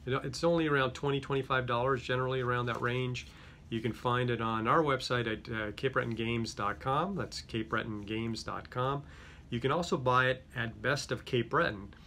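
Middle-aged male presenter, slight Greek accent, bright tone, loose and chatty, filmed close in a small office with light background noise. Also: mid-range voice, steady tone, normal speed. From Greek-speaking home. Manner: chatty, many fillers and restarts. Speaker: company head presenting a product. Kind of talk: casual product unboxing. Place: small office with a yellow wall.

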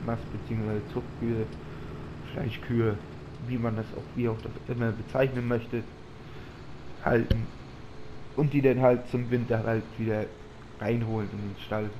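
A man's voice: medium pitch, level tone, measured pace, pauses often. A man with a slight cold, commenting casually on his farming game and thinking aloud as he drives. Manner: rambling, thinking aloud with fillers. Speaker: gaming channel host. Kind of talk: casual let's-play commentary. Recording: audible background noise.

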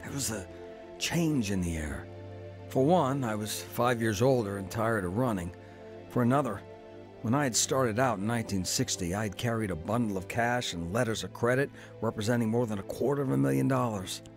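Middle-aged man, first-person narration, earnest, steady, music bed. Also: lowish voice, moderate pace. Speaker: narrator and lead.

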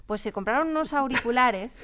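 A woman's voice: on the high side, a little fast, crowd noise. A woman with an accent from Spain telling anecdotes casually in an interview, acting out what people said. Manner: animated storyteller, acts out quoted remarks. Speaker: radio drama production team member. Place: radio drama studio.

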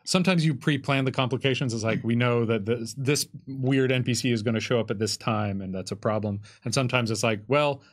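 A man's voice: mid-range voice, brisk, hardly any pauses. An American man in his thirties chatting casually as a player in a tabletop game. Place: small curtained studio, indoors. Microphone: desk microphone on boom arm.

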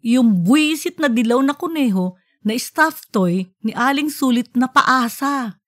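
A woman narrating an audiobook in an expressive storytelling style. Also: high-pitched, a little slow, no echo, clean studio sound.

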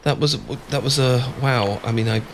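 A man's voice: mid-range voice, moderate pace, lightly acted. A man with a British accent playing a shy, adorkable, flustered young man in love, stammering and hesitant in an ASMR boyfriend roleplay. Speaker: audio roleplay performer. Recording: clear background ambience noise.

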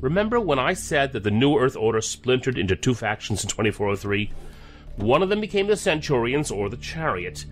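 A guarded, serious federal agent played by a man in a science fiction audio drama. His delivery is formal and measured, yet the pitch moves a lot. Faint music plays behind him. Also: big pitch swings, close to an everyday voice. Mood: grave. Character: guarded, serious male federal agent back from deep cover.

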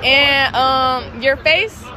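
Young woman interviewee, high and giggly, outdoor street audio with crowd. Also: very slow, low-quality recording. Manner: giggly, teasing. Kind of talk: casual street chat. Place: outdoor parking lot at night.

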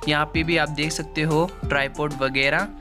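A young man with a fairly high voice walks through town, chatting to his viewers in a loose, repetitive vlog style. He holds his phone camera at arm's length outdoors, and music plays clearly under his voice. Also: level tone, normal speed. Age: young adult. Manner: chatty, repeats "guys" and "chalo" often. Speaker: travel vlogger. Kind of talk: casual walking vlog narration. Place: outdoors on city streets and a market. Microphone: handheld phone camera at arm's length.

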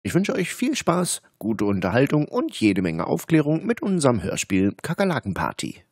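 A man's voice: medium pitch, wildly swooping pitch, normal speed, husky, no echo, studio quality. A man speaking as a channel host, giving a scripted introduction to listeners.

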